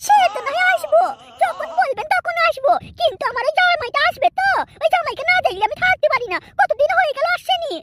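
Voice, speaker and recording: A scheming, lustful village woman from a cartoon, with a piercing, squeaky voice pitched very high. She chatters quickly and pleads. A loud noise hangs behind the recording. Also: animated. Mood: eager. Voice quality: shrill, squeaky. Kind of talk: adult cartoon comedy acting.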